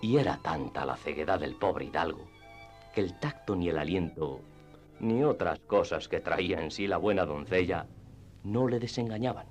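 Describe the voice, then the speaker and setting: A man narrating classic literature in radio theatre style, with expressive storytelling and deliberate phrasing. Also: sits low. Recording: music under the voice. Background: background music.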